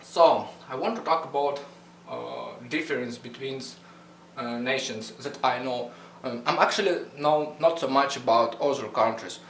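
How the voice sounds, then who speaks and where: A young man with a thick Russian accent rambles through a casual vlog, cursing freely and stumbling over his English. His voice sits at a middling pitch. The recording is roomy and a little distant, with a faint hum underneath. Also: vocal fry. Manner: blunt, swears a lot, halting non-native English. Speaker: vlogger. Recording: echoey room, mic at a distance, faint hum.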